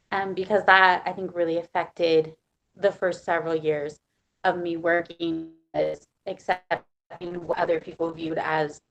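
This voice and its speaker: A woman panelist on a webinar call, speaking in a thoughtful, measured way about her early career. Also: fairly low pitch, vocal fry, slight background noise, rough recording. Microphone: video call.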